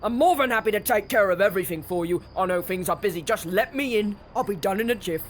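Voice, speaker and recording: A woman's voice: medium pitch, quick pace, slight background noise, natural voice. A woman playing an earnest young street irregular who bluffs in disguise, with a theatrical, over-formal manner, in an audio drama. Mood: flustered bluffing. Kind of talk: children's audio drama acting.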